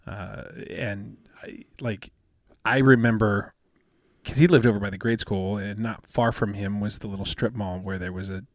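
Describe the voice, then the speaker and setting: A man in his fifties with a soothing, smooth voice, chatting casually as a podcast co-host and rambling with many fillers and restarts. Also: fairly low pitch, steady tone, moderate pace, dry recording, studio quality. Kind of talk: casual chat.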